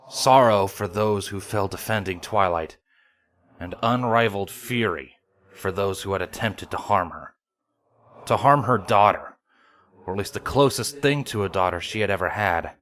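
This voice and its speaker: A man's voice: low-pitched, a little slow, a bit bright. A man reading a dramatic scripted story as its narrator. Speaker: fan fiction narrator.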